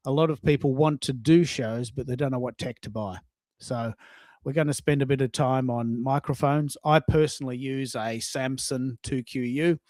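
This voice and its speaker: A man's voice: medium pitch, dry recording. A man with an Australian accent, hosting a live audio show in a casual, rambling, hesitant way. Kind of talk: casual unscripted live chat.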